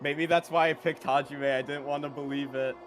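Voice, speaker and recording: Slightly high male co-commentator on a stream. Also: steady tone, a little fast. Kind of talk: casual game stream commentary. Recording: faint game music behind.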